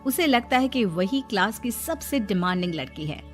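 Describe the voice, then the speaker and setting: A woman's voice: medium pitch, expressive, normal speed, soft background music. A woman narrating a scripted cartoon moral story as its storyteller.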